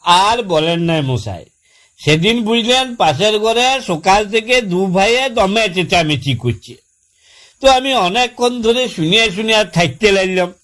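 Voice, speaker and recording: A man's voice: sits high, expressive, a little slow, a bit bright. A man playing an aggrieved village husband in a comic phone-call skit, telling long-winded, folksy complaints in a rural West Bengal accent. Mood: exasperated, rambling. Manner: folksy, long-winded storytelling with exasperated repetition. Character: aggrieved village husband complaining about his new fridge.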